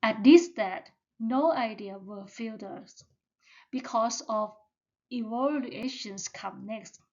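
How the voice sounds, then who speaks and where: A woman's voice: a little high, darkish tone, dull audio. A woman whose first language is Thai, giving a careful step-by-step presentation as a student. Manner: slow, careful, step-by-step delivery.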